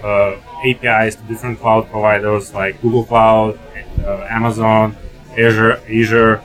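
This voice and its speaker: Low male voice, filler-heavy tech talk, light music under. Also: little pitch movement, moderate pace, fairly bright tone, low-quality recording. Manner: heavy use of "like" and "uh". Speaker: panel guest. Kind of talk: casual technical explanation.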